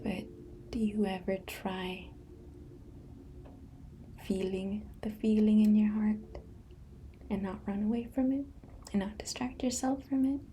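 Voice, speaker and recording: A woman speaking in a soft unvoiced whisper, gently guiding a meditation and sharing a personal story. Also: mid-range voice, fairly even intonation, measured pace, pauses often, a little hiss or hum.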